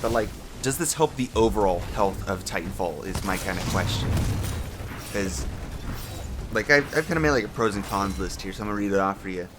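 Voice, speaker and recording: A man's voice: fairly low pitch, expressive, brisk, over music. A young man just out of bed giving casual, rambling commentary as a show host, swearing, restarting sentences and trailing into fillers. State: voice sounds a little off from just waking up. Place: home room with a fan.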